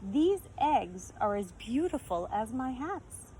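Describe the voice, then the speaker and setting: A woman's voice: on the high side, very animated, warm tone, a little hiss or hum, poor audio. Woman voicing an old immigrant shopkeeper, stern but kindly. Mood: thoughtful. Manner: thoughtful, deliberate storybook speech.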